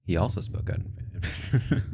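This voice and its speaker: Low-pitched male streamer, dry and wry, musing aloud with ums and likes. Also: expressive, a little slow, gravelly, warm tone, husky. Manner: wry, thinks aloud with hesitant fillers. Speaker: live streamer. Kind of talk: casual live-stream chat, reacting to clips and chat.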